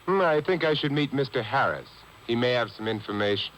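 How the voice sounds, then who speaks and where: An actor playing a suave, sardonic amateur sleuth in a 1950s radio detective drama, dry and urbane. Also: male voice, medium pitch, lively intonation, a little fast, a bit bright, natural voice. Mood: wry. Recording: dull old radio broadcast on cassette.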